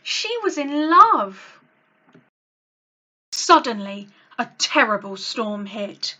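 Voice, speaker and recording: A woman reading a children's story aloud as host, with a dramatic, expressive read-along delivery. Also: fairly high pitch, slow pace. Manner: dramatic, animated delivery for young listeners.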